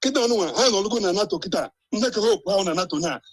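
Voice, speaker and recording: A Nigerian man talks fast in a high, slightly husky voice, pressing his argument and tossing in quick checks for agreement. The sound is dry and close, heard over a call mic. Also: animated. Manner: insistent, keeps checking the listener with "eh?". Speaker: guest on a live stream. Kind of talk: casual chat mixing Igbo and English.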